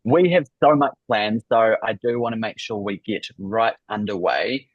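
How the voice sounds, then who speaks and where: A middle-aged man with a New Zealand accent, warmly hosting an online workshop over a video call with effusive welcomes. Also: on the low side, moderate pace, no echo, lo-fi sound. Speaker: business coach and workshop host.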